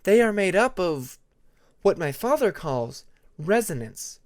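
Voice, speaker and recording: A narrator voicing a young female dragon who is earnest and thoughtful, with a measured manner of explaining. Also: medium pitch, sing-song, voice pushed up.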